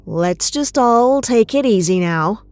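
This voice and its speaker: An actress playing a calm, conciliatory FBI agent with a measured manner in an audio drama. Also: female voice, fairly high pitch, dry recording. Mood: placating. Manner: measured, reassuring.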